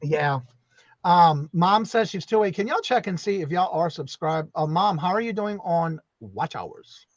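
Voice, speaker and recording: Chatty host with a raised voice. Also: male voice, high voice, expressive, unhurried, dry recording, dull audio. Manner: chatty, many fillers, southern y'all. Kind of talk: casual live-stream chat.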